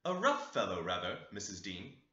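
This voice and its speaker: A courteous, curious gentleman from a classic novel played by a male reader. His voice is very bright and varied in pitch, with a questioning manner and a roomy echo. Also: big pitch swings, moderate pace, exaggerated voice. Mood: polite, interested. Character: inquisitive, polite gentleman tenant. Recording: roomy, echoey, slightly dull sound.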